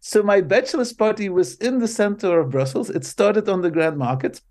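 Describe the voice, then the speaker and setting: High-voiced Belgian man telling stories. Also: moderate pace, darkish tone. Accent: Belgian Dutch. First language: Dutch. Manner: storytelling, often doubling "so, so", laughing between phrases. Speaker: guest, marketing professor. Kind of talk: casual podcast conversation.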